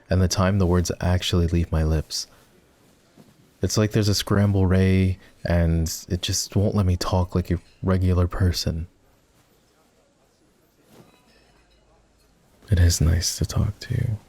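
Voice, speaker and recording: Male actor for remorseful ex-boyfriend boss in ASMR romance audio: mellow, husky man's voice, tender, halting and confessional. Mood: hesitant, tender. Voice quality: husky, gravelly low voice. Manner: soft, hesitant, confessional. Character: regretful, tender ex-boyfriend turned office boss. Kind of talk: ASMR boyfriend roleplay, intimate scripted monologue.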